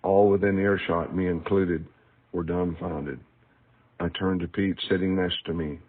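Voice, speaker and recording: A man's voice: low-pitched, fairly even intonation, measured pace. A man reading aloud from his own book in a scripted audiobook narration style, recorded with a tinny, narrow sound. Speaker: author reading a book excerpt. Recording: tinny, phone-like narrow band.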